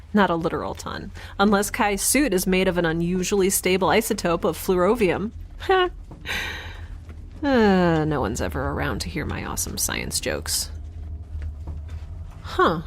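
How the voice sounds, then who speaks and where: Rambling nerdy young woman scientist. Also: mid-range voice. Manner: rambling, halting, talking to herself. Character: chatty nerdy young woman scientist, quirky, stubborn, caring. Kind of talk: audio drama monologue and dialogue.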